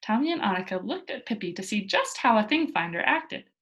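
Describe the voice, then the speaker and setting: A woman reading a children's storybook aloud as an expressive narrator. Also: mid-range voice, a little fast, slightly rough. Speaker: storybook reader.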